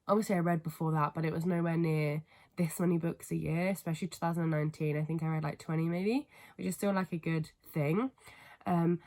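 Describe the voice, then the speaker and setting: A woman's voice: on the low side, darkish tone. A woman in her twenties with a cold, her voice stuffy and sniffly, chatting casually and rambling to camera about books, with lots of fillers and apologies. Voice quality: stuffy, congested. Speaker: book vlogger. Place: family home bedroom, indoors.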